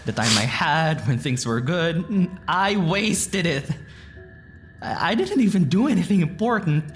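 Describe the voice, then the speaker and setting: An actress playing a tender but angry woman full of regret in an audio drama, speaking haltingly and with emotion. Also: fairly low pitch, lively intonation, faint music behind. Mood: self-reproachful. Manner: halting, emotional, sighing pauses.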